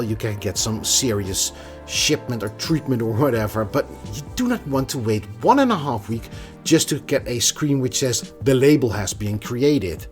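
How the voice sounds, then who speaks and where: A man giving a casual, opinionated tech review in a conversational manner. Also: mid-range voice. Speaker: product reviewer.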